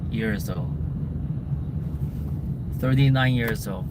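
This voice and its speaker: A man in his thirties, chatting casually and rambling, giving advice while driving through city traffic. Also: medium pitch. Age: 30s. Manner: slow, rambling, gives life advice. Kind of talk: casual chat. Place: inside a car in heavy city traffic. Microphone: camera mic. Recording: loud road noise.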